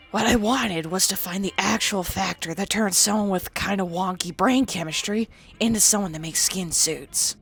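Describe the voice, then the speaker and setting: A woman's voice: medium pitch, gravelly, a bit bright, slightly rough. A woman voicing a sardonic, crude young female ghoul, a former psychology student, in a game dialogue. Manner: blunt, crude and defensive. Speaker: companion character. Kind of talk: fan-voiced game dialogue.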